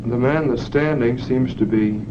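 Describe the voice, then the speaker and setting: A man's voice: medium pitch, measured pace. A man in a filmed test, describing pictures hesitantly and often restarting with "I mean". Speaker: test participant. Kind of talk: unscripted answers in an experiment. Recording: dull, hissy 1940s film soundtrack.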